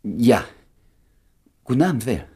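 Fussy radio-play doctor, feigned indifference, stammering, probing, wide swings in pitch, husky. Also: male voice, very animated, unhurried, darkish tone, exaggerated voice. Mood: puzzled, polite. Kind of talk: German radio play acting.

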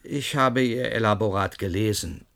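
An actor playing a cold, sardonic professor in power in a German radio drama, measured and condescending, with a gravelly voice. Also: male voice, fairly low pitch, fairly bright tone. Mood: cold, mocking.

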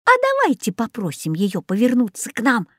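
A woman voicing an eager, boastful little boy in a children's story, excitable and quick to call out. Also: a little high, breathy edge, no echo, studio quality, heavily acted. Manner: excitable, calls out loudly.

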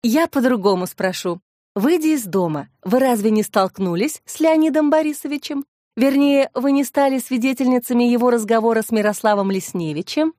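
A composed female investigator in a crime audiobook, read by a woman narrator. She speaks in a rather high voice, polite but pointed and sure of herself. The sound is dry. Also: lively intonation, fairly quick, dark tone, dry recording, natural voice. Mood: probing.

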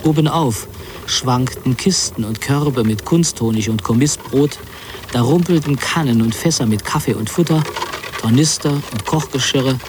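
An actor plays a sober male chronicler narrating a radio drama. His medium-pitched voice is measured, literary and factual. Clear ambient noise runs behind him. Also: lightly acted. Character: sober male chronicler recounting the end of the war. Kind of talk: scripted narration in a radio play.

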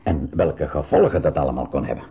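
An actor playing a kindly, principled uncle who commands a small submarine, in a Flemish-accented 1980s school radio play. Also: male voice, a bass voice, big pitch swings, brisk, dark tone, slightly rough, muffled recording, full character voice. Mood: earnest, firm.

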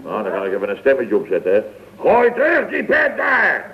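Blustering contractor boss, boastful, easily flustered, rambling radio comedy delivery, thin band-limited vintage recording. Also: male voice, mid-range voice, wildly swooping pitch, a little fast, dull audio. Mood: boastful, amused. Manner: rambling, peppered with "hè" and "zeg".

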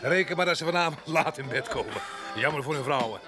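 An actor in a radio drama playing a rough, boastful fugitive labourer with a violent past, swaggering and defiant. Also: male voice, on the high side, quick pace, music in the background, close to an everyday voice. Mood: boastful, mocking.

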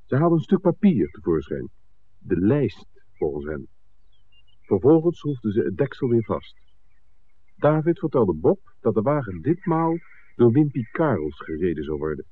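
An actor playing an earnest, persistent visitor looking into village secrets in a 1960s Dutch radio drama, polite and articulate. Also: male voice, lowish voice, slightly rough. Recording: thin, phone-like old radio recording.

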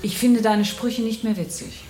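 A woman's voice: on the high side, steady tone, moderate pace, a little mellow, a little hiss or hum, natural voice. An actress playing a weary, exasperated woman impatient with her brooding partner in a German radio play. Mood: annoyed.

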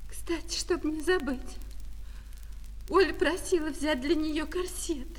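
Female seamstress, radio drama: very high voice, timid, lovelorn, plaintive, reverberant room. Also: takes it slowly. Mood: holding back tears. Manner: hesitant, plaintive. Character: unhappy lovelorn seamstress customer, distraught and timid. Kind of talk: Russian radio play of a classic short story. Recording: long reverb with music behind.

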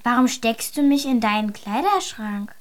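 A woman's voice: fairly high pitch, lively intonation, normal speed, close to an everyday voice. A woman playing a lost, puzzled little girl in a children's radio play, asking simple questions.

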